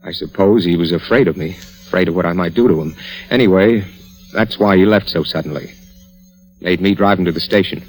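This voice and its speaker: Actor as a deep-voiced, guilt-ridden radio husband. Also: male voice, fairly quick, natural voice, rough recording. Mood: ashamed confession. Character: guilt-ridden, frightened, sullen husband in trouble. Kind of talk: 1940s radio acting. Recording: vintage radio recording with music.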